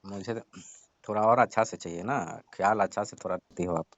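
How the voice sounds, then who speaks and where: A man's voice: low voice, brisk, fairly bright tone. A man playing a sly young friend of the family who coaxes and insinuates in a casual phone conversation. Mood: insinuating. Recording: muffled lo-fi phone call recording.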